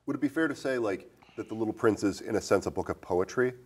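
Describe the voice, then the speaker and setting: Fast-talking, low-voiced male podcast host. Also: darkish tone, breathy edge. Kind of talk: casual interview-style film discussion.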